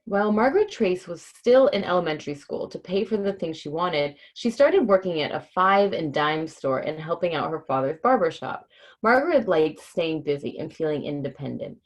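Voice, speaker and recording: A woman's voice: on the low side, lively intonation, moderate pace. A woman hosting a casual livestream read-aloud for children, talking informally and keeping things simple for young listeners. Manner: informal, explains hard words simply for children. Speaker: host reading a children's book on a livestream.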